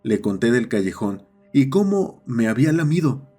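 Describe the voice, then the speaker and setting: A man as a measured young horror narrator. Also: mid-range voice, sing-song, mellow, faint music behind. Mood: sombre. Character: schoolboy narrator recounting his own horror encounter. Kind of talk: scripted first-person horror narration.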